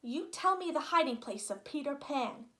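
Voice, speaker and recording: Woman playing a storybook pirate villain, menacing, commanding, high and forceful. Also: animated, moderate pace. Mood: threatening.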